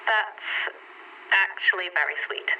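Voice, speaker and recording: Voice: mid-range voice, a little slow, dull audio, lightly acted. An actor playing a guarded, exasperated partner in an audio drama, clipped in manner. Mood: softening, guarded. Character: guarded, exasperated young partner softening despite themself. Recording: distant, slightly noisy intercom.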